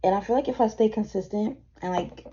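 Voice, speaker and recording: A woman's voice: brisk, mellow, breathy edge. Tired woman, 21, rambling vlogger chat, casual swearing, filmed at her desk on dull lo-fi camera audio. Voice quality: a little deeper than usual from tiredness. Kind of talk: casual get-ready-with-me chat.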